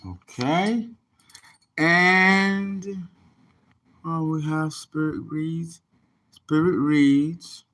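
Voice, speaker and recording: A man's voice: on the high side, steady tone, very slow, pauses often, a bit bright. A man, an astrologer and tarot reader, chatting casually on a livestream and rambling as he reads viewer comments aloud.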